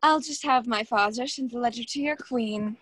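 Young woman playing a high-voiced, haughty society hostess. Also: moderate pace, heavy background noise, lightly acted. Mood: cold, demanding. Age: young adult. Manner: condescending, taunting. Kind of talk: radio play acting.

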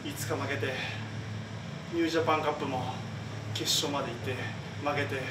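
A man in his thirties, a pro wrestler, calmly reflecting on a loss in post-match comments, full of fillers. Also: mid-range voice, a little fast. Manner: calm, reflective, loose with fillers. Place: backstage press area in front of a sponsor backdrop. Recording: echoey room, noisy, far from mic.

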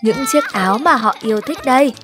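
A woman's voice: fairly high pitch, fairly quick. A woman narrator reading scripted, rhyming narration for a children's cartoon. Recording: music behind the voice.

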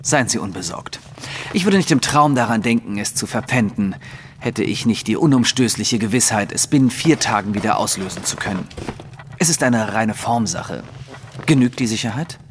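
A man's voice: on the low side, lively intonation, normal speed, close to an everyday voice. Actor as haughty nobleman in reverberant room. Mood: imperious, assured. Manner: formal, commanding, self-assured. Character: haughty, secretive nobleman of high rank. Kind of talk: audio drama acting. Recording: reverberant hall, faint background noise.

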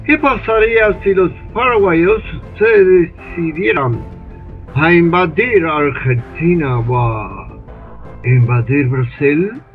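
An older man in his sixties plays a smooth, manipulative male British ambassador in a radio drama recorded over a video call, talking slowly and persuasively. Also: a little high, expressive. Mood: scheming. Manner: slow, insinuating, persuasive. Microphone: computer webcam mic on a video call. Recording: tinny phone-like line with faint music.

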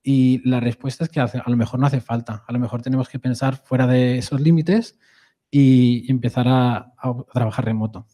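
Madrid-accented man giving a mellow talk. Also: medium pitch, steady tone, moderate pace. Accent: Madrid Spanish. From Madrid, Spain. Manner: conversational, often adds a questioning "¿no?". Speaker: conference speaker. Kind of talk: live presentation talk.

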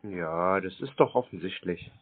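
A man's voice: low-pitched, normal speed, no room sound. A man in a casual phone conversation, baiting scam callers in a laconic, teasing manner. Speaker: prank caller baiting scam call centers. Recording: band-limited phone-call audio.

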